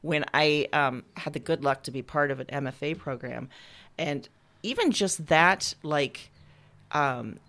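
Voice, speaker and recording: A woman with a low-pitched voice talks as a writer being interviewed. Her delivery is candid and informal, like a relaxed conversation. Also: unhurried.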